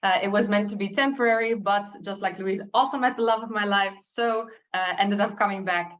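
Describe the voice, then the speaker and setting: A woman's voice: mid-range voice, brisk, fairly bright tone, slight background noise. A woman from the United States with an American accent, presenting a webinar in a chatty, friendly way with many filler sounds. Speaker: recruiter co-hosting a webinar.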